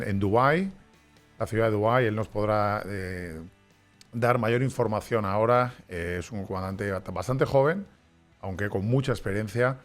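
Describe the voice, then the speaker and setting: Male host, conversational, halting delivery; light music bed behind. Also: lowish voice. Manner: hesitant, many fillers and restarts. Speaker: podcast host. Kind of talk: conversational interview hosting.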